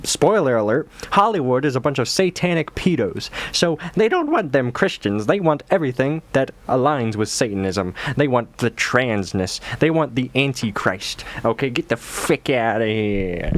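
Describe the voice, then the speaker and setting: A man's voice: mid-range voice, expressive, moderate pace, few pauses. A man hosting a reaction video, talking in a sarcastic, mocking, slangy way. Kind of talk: casual reaction commentary.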